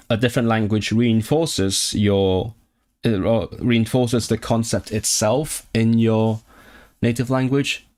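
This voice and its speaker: Young guy streaming, lowish voice, thinks out loud, lots of restarts and questions to chat. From Hong Kong. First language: Cantonese. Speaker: language-learning streamer. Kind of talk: casual live-stream chat reading viewer comments.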